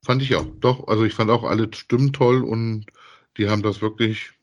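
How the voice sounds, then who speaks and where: A man's voice: on the low side, fairly even intonation, fairly quick. A man co-hosting a podcast, chatting casually in a rambling, repetitive way as he reviews. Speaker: podcast co-host.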